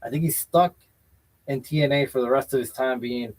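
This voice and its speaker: A man hosts a laid-back wrestling talk show, his voice sitting right in the middle of the range. He argues his points bluntly and with strong opinions. He keeps softening them with "I feel like" as he goes. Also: medium pitch, brisk, poor audio. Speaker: podcast host. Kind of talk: casual wrestling chat show.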